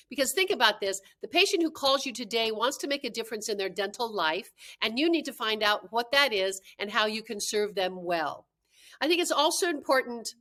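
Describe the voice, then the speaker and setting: A woman speaks as a trainer sharing practical advice. Her voice sits on the high side and has a very bright, forceful edge. She is emphatic, underlining key points by restating them. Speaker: dental practice consultant and trainer. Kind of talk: instructional tips talk to camera.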